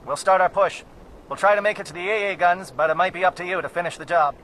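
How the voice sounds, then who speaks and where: A man voicing a solemn alien commando captain in a video game, speaking in a formal, measured, oratorical way. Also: high-pitched, over music, natural voice, poor audio. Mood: urgent. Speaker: military captain. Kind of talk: video game cutscene acting.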